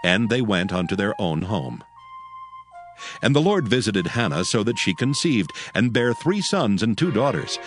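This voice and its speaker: A male narrator reads scripture in a slightly low voice with very varied intonation. It is a scripted, dramatized audio Bible, with faint music underneath. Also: big pitch swings, normal speed.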